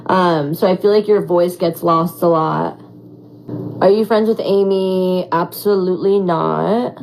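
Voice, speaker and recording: A raspy young woman answering fan questions in a casual, animated chat, full of "like" and "um". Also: lowish voice, measured pace, slight background noise, low-quality recording. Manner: animated, chatty, heavy on fillers like "like" and "um". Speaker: former reality show contestant.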